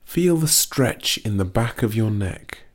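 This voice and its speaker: Slow, low-voiced man guiding relaxation. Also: animated. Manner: slow, soothing, gently instructive. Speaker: relaxation guide. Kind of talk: guided relaxation script.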